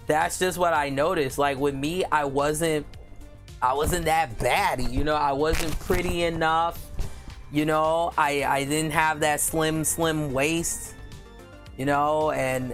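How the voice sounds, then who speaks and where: Deep-voiced woman streamer, casual and candid, filler-heavy chat over faint music. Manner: candid and chatty, many "you know" fillers, quick laughs. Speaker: live streamer. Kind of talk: casual live-stream chat, reading viewer comments aloud.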